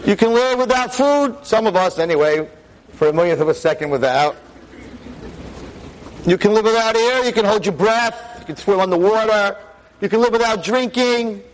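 A veteran teacher in his sixties lecturing an audience on a microphone, with a loud voice, emphatic and full of rhetorical questions. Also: high voice, animated, normal speed, low-quality recording. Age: 60s. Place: talk in front of an audience. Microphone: handheld or stand mic.

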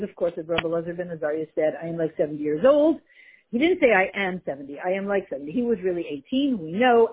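Woman teaching a Torah class over a phone line. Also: on the low side. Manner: lively, mixes Hebrew and Yiddish terms, searches for words. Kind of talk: Torah class explaining a text.

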